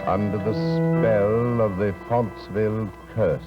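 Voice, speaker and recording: A man hosting a 1950s radio horror show, speaking in a slow, sinister, theatrical way. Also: fairly low pitch, steady tone. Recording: dull old radio recording under organ music.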